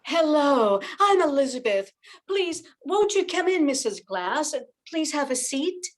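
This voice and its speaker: Woman playing a high-voiced, anxious young widowed mother. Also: very animated, unhurried. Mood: polite. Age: older. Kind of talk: old-time radio drama acting.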